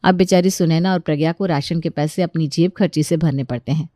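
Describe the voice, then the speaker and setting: A woman's voice: low voice, fairly even intonation, a little fast, warm tone, no echo. Female storyteller, children's tale narration, very dry sound.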